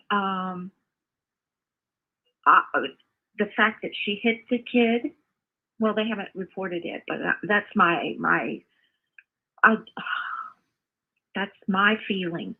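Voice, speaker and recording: An older woman calling in by phone to give her opinion, halting and earnest, often repeating herself. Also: medium pitch. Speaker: caller, mother of the host. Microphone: phone call. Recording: muffled, narrow phone call audio.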